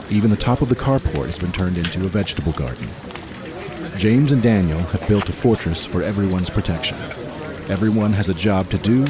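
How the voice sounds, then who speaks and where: A man narrating a post-apocalyptic radio drama in a measured, grave, scripted delivery. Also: low voice. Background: music.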